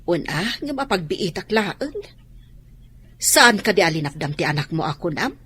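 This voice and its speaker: A woman's voice: lowish voice, very animated, moderate pace, pushed and bright, breathy edge, exaggerated voice. A woman with an Ilocano accent playing a nosy, alarmist village neighbour in a radio drama, fretful and cautioning.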